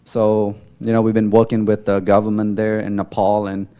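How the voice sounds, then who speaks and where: Halting low-voiced young man from Cambodia. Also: completely flat delivery, dark tone, clear, resonant tone. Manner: halting, searching for words. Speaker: mission volunteer giving a testimony. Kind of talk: informal church testimony.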